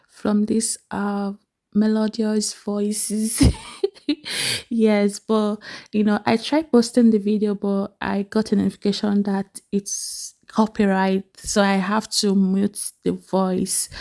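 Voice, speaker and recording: A young woman with a Nigerian accent chats to her camera at home in a soft, slow way. Her voice has a gravelly edge, and she laughs often between sentences. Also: medium pitch. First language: Igbo. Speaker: vlogger. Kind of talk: casual vlog narration.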